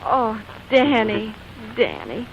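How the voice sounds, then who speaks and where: An actress playing an eager, affectionate young fiancée in 1940s romantic comedy style. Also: female voice, a little high, huge pitch swings, takes it slowly, vocal fry, a little hiss or hum, full character voice. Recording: dull old recording, loud music behind.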